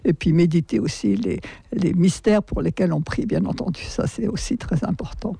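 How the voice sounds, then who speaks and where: A woman from a prayer group speaking in a soft, gentle way. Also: fairly low pitch, moderate pace, creaky, slightly rough, no echo.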